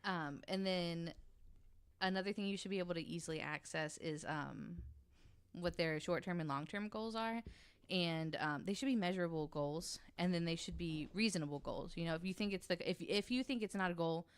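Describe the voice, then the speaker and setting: Low-pitched woman with a clear, smooth voice. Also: moderate pace. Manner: informal, frank. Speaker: podcast panelist. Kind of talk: casual explanatory podcast talk.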